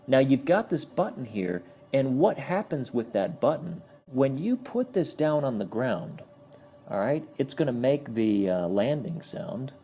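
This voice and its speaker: A man's voice: medium pitch, very animated, measured pace, mellow. A man giving a casual, explanatory toy review, friendly in tone and sometimes stumbling over his words.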